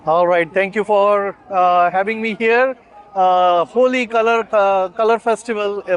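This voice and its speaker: A man explaining a festival tradition outdoors, speaking haltingly with many filler sounds. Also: sits high. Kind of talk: unscripted explanation on camera.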